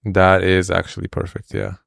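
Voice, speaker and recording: A man's voice: low voice, completely flat delivery, normal speed, creaky, fairly bright tone, no room sound, studio quality. A man co-hosting a podcast, chatting in an upbeat, playful way. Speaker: podcast co-host. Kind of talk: casual chat.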